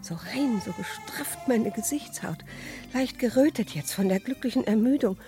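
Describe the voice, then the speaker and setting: An actress playing a melancholic woman of fifty in a dramatic radio monologue, clinging to youth yet resigned, with drawn-out, expressive phrasing. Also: on the high side, soft background music, close to an everyday voice. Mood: rapturous, anxious.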